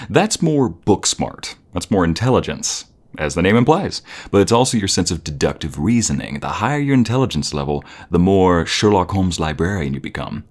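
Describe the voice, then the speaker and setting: Low-voiced, playful boyfriend, acted by a man. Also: darkish tone. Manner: playful, teasing, chuckling, rambling. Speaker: audio roleplay narrator. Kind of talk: casual, intimate boyfriend roleplay.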